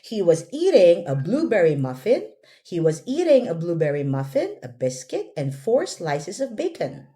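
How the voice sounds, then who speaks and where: A woman's voice: fairly low pitch, huge pitch swings, normal speed, warm tone. A woman teaching English pronunciation one-on-one, giving short prompts and corrections and modelling words for a learner to repeat.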